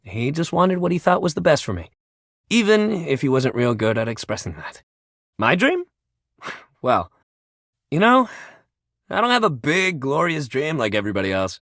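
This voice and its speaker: A man's voice: a little high, sing-song, normal speed, pauses often, a bit bright, dry recording, clean studio sound, full character voice. An actor playing an upbeat, friendly but sensitive young male sports jock in a video game, talking in casual, emphatic bro speak. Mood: earnest, reflective. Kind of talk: video game character dialogue.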